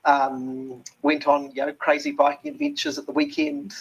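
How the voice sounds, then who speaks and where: A man's voice: a little high, sing-song, normal speed, creaky, husky, low-quality recording. A man with a New Zealand accent, an interview guest talking earnestly with many ums and restarts. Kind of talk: reflective interview talk.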